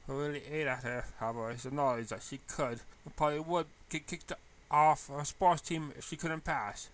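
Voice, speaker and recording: A man's voice: fairly high pitch, steady tone, normal speed. A man narrating a story in a read-aloud style. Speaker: fan fiction reader.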